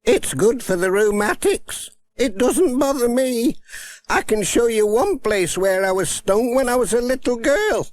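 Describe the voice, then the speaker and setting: Man putting on an old country granny, cheerful, unbothered, high voice, storybook. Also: animated, measured pace, no room sound. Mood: cheerfully unbothered.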